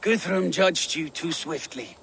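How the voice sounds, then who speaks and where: An eager Viking war chief in a dramatic game. His voice is high for a man, and he talks boldly, relishing the coming fight. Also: unhurried, vocal fry, a bit bright, slight background noise, low-quality recording. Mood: confident. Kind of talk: dramatic video game acting.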